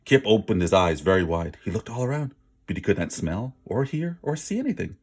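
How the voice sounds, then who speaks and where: A man's voice: lowish voice, big pitch swings, fairly quick, breathy edge. A man reading a children's story aloud with dramatic, emphatic word stress and an informal manner. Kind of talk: expressive read-aloud narration with casual asides.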